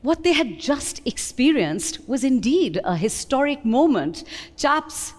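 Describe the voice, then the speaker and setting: A woman with an Indian accent giving an expressive, deliberate dramatic reading of literary prose. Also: sits high. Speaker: reader at a book event. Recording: long hall reverb.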